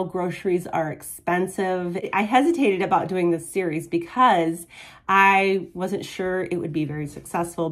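A woman with a cold and a stuffy, nasal voice, from the United States, chatting casually as she walks through a home cooking video and explains prices step by step. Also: on the low side. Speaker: keto cooking channel host.

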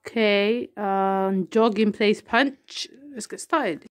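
A young woman chatting casually to her vlog audience at home, out of breath between workout sets and talking low. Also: slow pace. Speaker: vlogger. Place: living room at home.

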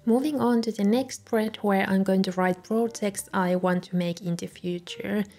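A young woman, a native Finnish speaker, calmly narrating a voice-over for her video with soft laughs between remarks. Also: mid-range voice, moderate pace, soft background music. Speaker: journaling video creator. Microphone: voice-over recorded separately.

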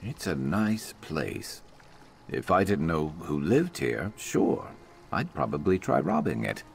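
Very deep, gravelly reptilian loner. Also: male voice, slow, background noise, exaggerated voice. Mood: deadpan, musing. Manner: dry, deadpan, grumbling delivery. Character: wry, gruff reptilian adventurer companion, a brooding loner. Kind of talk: video game companion dialogue.

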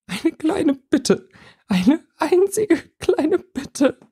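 A man's voice: very high-pitched, very animated, extremely slow, dark tone, voice pushed up, exaggerated voice. A man voicing an upset, tearful and indignant young schoolgirl in a plaintive storybook reading. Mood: tearful, pleading.

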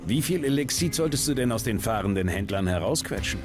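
A man's voice: lowish voice, animated, fairly quick, natural voice. A man playing a tough action hero in a video game, with a dry, laconic and wry delivery. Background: music.

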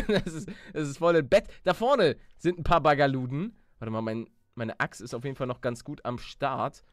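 A man hosting a Let's Play in lively casual banter, playful and excitable, often stammering and repeating words. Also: medium pitch, normal speed, no room sound, clean studio sound.

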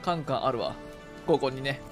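A man doing casual, excitable gameplay commentary with a co-op partner, often repeating short exclamations. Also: mid-range voice, normal speed, over music. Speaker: game streamer.

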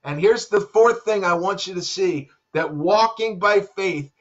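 A man in his fifties, a pastor, preaching a sermon from a room at home into a webcam, dropping soft and low for emphasis. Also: a little slow. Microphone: webcam at desk. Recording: dull lo-fi webcam audio.

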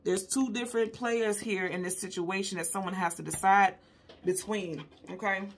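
A woman with a slightly husky, mid-pitched voice leads a tarot reading for her viewers. She talks casually and intuitively, stressing her points and circling back to them for emphasis. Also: steady tone, moderate pace. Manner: conversational, emphatic, often repeating points for emphasis. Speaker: tarot card reader and host.

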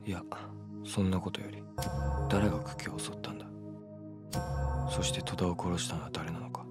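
An actor playing a hot-headed young underground fighter, brooding and defiant, with terse, rough talk in a game cutscene. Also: male voice, deep voice, steady tone, moderate pace, over loud music, lightly acted. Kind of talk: dramatic Japanese game cutscene acting.

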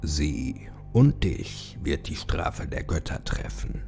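A man voicing the proud, cold ghost of an ancient pharaoh in a horror story, slow and imperious. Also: rumbling low, sing-song, gravelly, a little mellow, faint music behind, voice pushed down, heavily acted. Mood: cold, menacing. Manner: slow, stern, imperious delivery.

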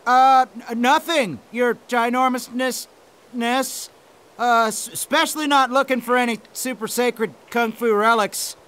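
A man voicing a goofy, eager and boastful young panda hero in an animated game, slangy and bumbling. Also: very high-pitched, a little slow, clear, resonant tone. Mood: nervous.

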